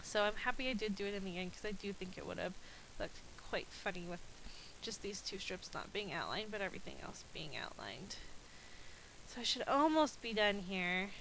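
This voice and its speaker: A woman's voice: mid-range voice, expressive, normal speed, creaky, a bit bright, a little hiss or hum, poor audio. A woman with a slightly stuffy, nasal voice from a cold, chatting casually with frequent ums as she narrates a voice-over about her crafting. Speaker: scrapbooking video creator.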